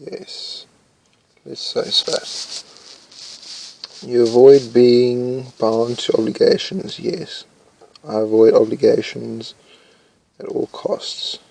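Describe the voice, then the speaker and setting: Slow, gravelly young man with a flat voice. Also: medium pitch, dry recording, poor audio. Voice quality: says his voice is loud. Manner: slow, flat, mumbling delivery, thinking aloud with hesitations. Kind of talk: casual commentary while reading test questions aloud.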